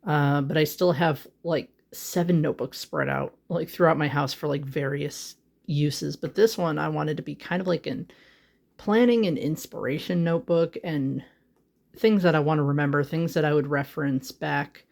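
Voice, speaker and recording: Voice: mid-range voice, moderate pace, gravelly, darkish tone. A young adult vlogger chatting casually from a home office, rambling and self-conscious, with lots of "like" and "um" fillers, recorded on a handheld phone. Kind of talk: casual chat. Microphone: phone held in hand.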